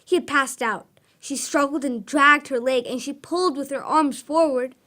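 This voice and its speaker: A woman's voice: sits high, normal speed, a bit bright. A young girl performing in a school radio drama, reading her script earnestly. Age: child, about 10.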